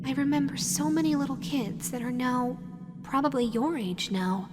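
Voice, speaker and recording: A woman's voice: high voice, lively intonation, moderate pace. A woman voicing a sweet, teasing female animatronic fox made for children, in an intimate roleplay audio addressed to the listener. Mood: uneasy, wistful. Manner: playful cooing, drifting into wistful musing. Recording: long reverberant hall echo. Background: music.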